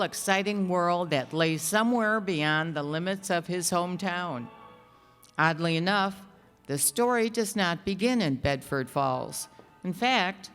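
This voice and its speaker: Slow, low-pitched woman radio narrator. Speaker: radio show announcer and narrator. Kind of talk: scripted narration in old-time radio style. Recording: echoey hall, music behind. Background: music.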